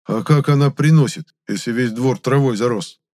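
A man's voice: mid-range voice, normal speed, darkish tone, pitched above the performer's own voice, lightly acted. Man voicing an insistent, impatient young lad. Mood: probing. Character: young Komsomol lad questioning people, insistent, impatient, bossy.

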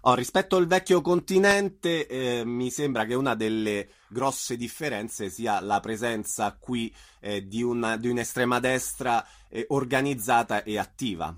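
A man's voice: mid-range voice, a little slow, hardly any pauses, fairly bright tone. A man interviewing a journalist, asking questions and murmuring agreement. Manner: short backchannel murmurs.

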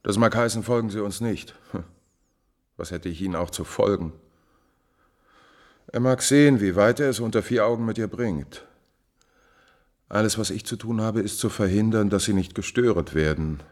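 An actor playing a smooth, calculating marquis and court chamberlain with mocking courtesy in a mid-century radio drama. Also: male voice, lowish voice, normal speed, long pauses, a little mellow, close to an everyday voice. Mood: sly, calculating.

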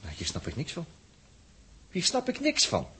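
Uneasy male crewman, very hoarse-edged timbre, swooping intonation, emphatic, for vintage space radio serial. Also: fairly high pitch, wildly swooping pitch, moderate pace, sharp tone, heavily acted. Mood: bewildered. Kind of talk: 1960s Dutch radio drama acting.

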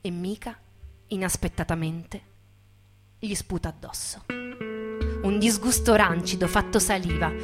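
Mid-pitched woman narrating over prominent music. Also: a little slow, long pauses. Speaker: actress and narrator. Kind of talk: dramatic scripted narration, stage reading.

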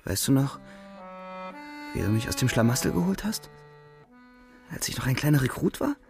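Gravelly young soldier, music behind. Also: male voice, mid-range voice, big pitch swings, normal speed. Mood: wistful. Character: young German soldier, loyal, anguished, war-weary. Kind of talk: radio drama acting.

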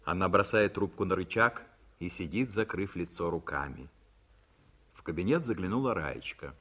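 A man reads scripted stage directions for a radio drama. His voice is on the low side and distinctly husky, and his intonation moves around a great deal. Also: expressive, normal speed, pushed and bright. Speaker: narrator.

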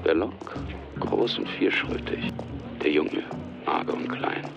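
A man's voice: rumbling low, expressive, slow, creaky, lo-fi sound, music in the background. A man narrating a radio drama from a script.

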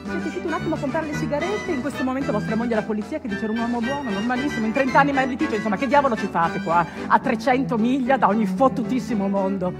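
A woman playing a flamboyant, sardonic master of ceremonies on an ocean liner, showy and declamatory, with quick dry asides. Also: fairly high pitch, loud music behind the voice, natural voice. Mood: sardonic, playful. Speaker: stage actor. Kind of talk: theatrical comic announcement.

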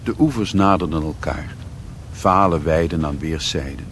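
Sombre literary narrator, very low-pitched, deliberate and reflective, radio drama with faint recording noise. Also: male voice, fairly bright tone. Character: sombre male narrator recalling a sea voyage. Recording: noisy old radio play recording.

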